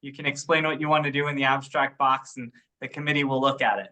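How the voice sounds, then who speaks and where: A man's voice: medium pitch, fairly even intonation, quick pace, pushed and bright. A man chatting casually as an organizer on an online meeting. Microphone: teleconference connection.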